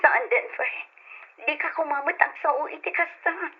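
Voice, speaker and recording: An actress playing a flustered, defensive, pleading wife in an Ilocano radio drama, with an Ilocano accent. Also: female voice, high voice, moderate pace, lo-fi sound, natural voice.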